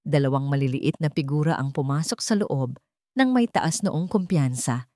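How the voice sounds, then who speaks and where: A woman's voice: deep voice, normal speed, warm tone, no room sound, studio quality. A woman narrating a scripted romance drama in a theatrical, suspenseful way. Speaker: story narrator.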